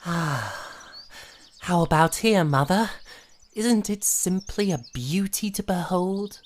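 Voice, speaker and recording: A woman's voice: fairly low pitch, takes it slowly, slight background noise. A woman playing a cheerful, eager young prince in a fan audio drama, with courtly, exclaiming speech. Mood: joyful, dreamy. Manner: breathless, exclaiming, courtly old-fashioned phrasing. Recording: music under the voice.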